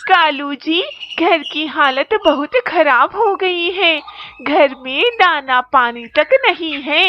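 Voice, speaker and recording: A woman's voice: high-pitched, slow. A woman voicing a bitter, complaining female crow wife in a children's cartoon, on a muffled, noisy recording. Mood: despairing. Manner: complaining, scolding. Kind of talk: children's cartoon voice acting in Hindi.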